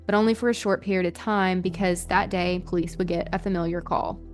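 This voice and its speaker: A woman's voice: fairly low pitch, fairly even intonation, brisk, music in the background. Woman casually telling a true-crime story. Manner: casual, colloquial phrasing with frequent "like". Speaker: podcast host.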